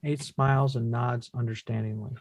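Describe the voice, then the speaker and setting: A man's voice: mid-range voice, steady tone, moderate pace, no echo. A man reading screenplay action descriptions as scripted narration at a table read. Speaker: stage directions reader.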